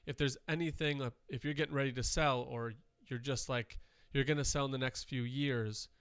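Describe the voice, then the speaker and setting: A man's voice: medium pitch, fairly even intonation, moderate pace, fairly bright tone, clean tone, no echo, studio quality. A man co-hosting a podcast, chatting casually and rambling with fillers, a little nasal from dust. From Wisconsin. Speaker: podcast co-host. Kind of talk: casual chat.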